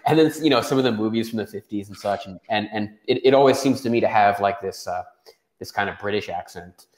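A young American man asks curious, chatty questions in a relaxed way. His voice sits a little low, and his video-call audio sounds roomy. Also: fast talker. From United States. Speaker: interviewer, channel host. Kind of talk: casual interview over video call. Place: room at home.

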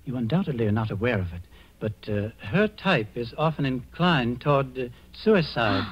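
Husky, expressive asylum doctor. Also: male voice, medium pitch. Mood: measured. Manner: measured, formal, hesitant pauses. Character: authoritative, measured male asylum doctor. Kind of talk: 1940s radio acting. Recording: muffled old radio recording.

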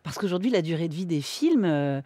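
A woman's voice: on the low side, big pitch swings, fairly quick, mellow, breathy edge, no room sound. A woman in her thirties hosting a radio studio interview, talking in a chatty, conversational way with frequent fillers. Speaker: radio host. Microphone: studio mic with headphones.

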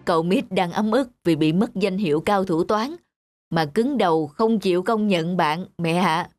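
A man voicing a patient, wise father in a cartoon, reasoning and gently teasing. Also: high voice, a little slow. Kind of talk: cartoon voice acting. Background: music.

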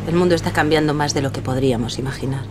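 A woman playing a poised, sharp young actress in an audio drama, formal and self-assured. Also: sits low, very fast, over music, close to an everyday voice.

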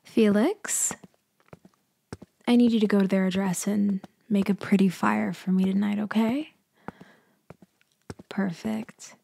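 A woman playing a cool, teasing, controlling mafia leader in an ASMR roleplay, speaking deliberately in a murmur that often drops toward a whisper. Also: medium pitch, steady tone, gravelly, husky, dry recording, heavily acted. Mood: cool, businesslike. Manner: slow, deliberate, softly taunting, often dropping to a whisper. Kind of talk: ASMR roleplay audio acting.

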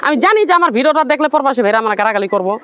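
A woman from Bangladesh speaks in a high, pleading voice, words tumbling out at a rushed pace. Her local comes through as she makes her case earnestly to the interviewer. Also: animated, fairly bright tone, clean tone.

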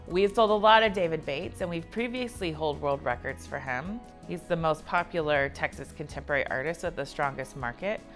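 A woman's voice: on the low side, fairly bright tone. An American woman in her thirties giving a polished, conversational promotional talk to camera as an art director. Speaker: auction house art director. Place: indoor office-like studio set. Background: background music.

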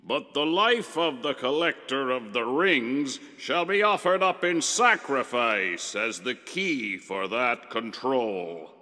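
An actor playing a power-hungry, scheming evil genie in a video game, grand, theatrical and menacing. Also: male voice, mid-range voice, expressive, takes it slowly, hardly any pauses. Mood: grandiose menace. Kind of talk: dramatic villain game acting. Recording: echoey hall with loud music behind.

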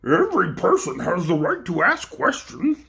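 A woman's voice: on the low side, huge pitch swings, moderate pace, vocal fry, fairly bright tone, heavily acted. A woman voicing a dignified male frog-man who asks politely and formally. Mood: courteous.

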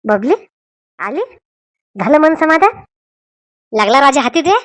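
Excitable cartoon villager, voiced by a woman: raised pitch, emphatic comic delivery, rural Vidarbha Marathi accent, dry studio-like sound. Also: a little slow, no echo, heavily acted. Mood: smug, taunting.

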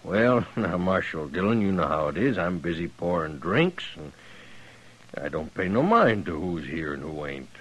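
A man's voice: deep voice, big pitch swings, moderate pace, husky, heavily acted, poor audio. An actor playing an evasive, folksy saloon barkeeper in a 1950s western radio drama, hedging as he talks.

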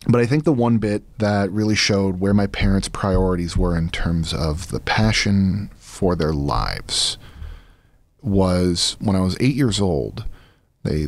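An American man in his thirties, a podcast guest telling personal stories in a halting but emphatic way. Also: deep voice, animated, no echo. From Florida, United States. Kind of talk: conversational personal storytelling. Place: small podcast studio, indoors. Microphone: close boom studio mic with headphones.

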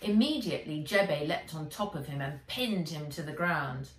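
A middle-aged woman reading an adventure story for children aloud, with expressive, dramatic, emphatic delivery. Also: low voice, normal speed, distant mic, a little hiss or hum. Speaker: author reading her own book aloud. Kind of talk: dramatic audiobook-style narration for children. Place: indoors, bright room with armchair. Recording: roomy, echoey, away from mic.

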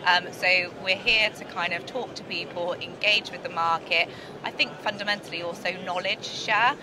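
A middle-aged British woman giving a promotional interview as a company director, explaining in a measured corporate way at a busy trade show. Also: lowish voice, fairly even intonation. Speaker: sales and marketing director being interviewed. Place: large indoor trade show floor. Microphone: handheld interview microphone at chest level. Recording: noisy busy exhibition hall.

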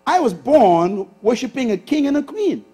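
Bahamian male preacher, sixties, high voice, very lively delivery, stage lapel mic. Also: moderate pace, breathy edge. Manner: lively preacher, shifts from loud bursts to hushed asides. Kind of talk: sermon. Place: large church auditorium stage with audience.

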